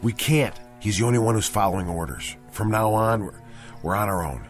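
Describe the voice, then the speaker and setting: An actor playing a serious army sergeant who knows his history, in a radio drama, speaking in a measured way. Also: fairly low pitch, over music, natural voice. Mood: grave. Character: grave male army sergeant versed in history.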